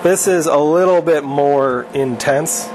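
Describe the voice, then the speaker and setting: A man's voice: fairly high pitch, takes it slowly, noisy background. Man, 30s, joking, offhand, instructional, home garage, camera mic, humming background. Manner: joking, offhand, self-deprecating. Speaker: channel host teaching welding. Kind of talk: casual instructional chat. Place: home garage workshop, indoors.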